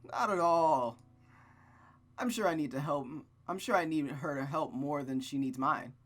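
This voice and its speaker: A reader voicing a kindly, courteous marquis from a visual novel in a measured, reassuring manner. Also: medium pitch, a bit bright. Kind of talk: visual novel read-aloud character voice.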